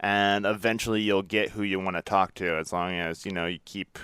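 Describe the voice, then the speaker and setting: A man's voice: deep voice, level tone, a little fast, sharp tone, smooth, dry recording, studio quality. A man hosting a podcast in a casual, informal interview style, with an easygoing, rambling way of talking. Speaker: podcast host.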